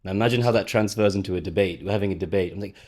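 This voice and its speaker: A man's voice: low-pitched, darkish tone. A young man talking through his ideas in a casual podcast chat, often correcting himself as he goes. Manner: fast, thoughtful, self-correcting. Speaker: podcast guest. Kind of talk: casual conversation.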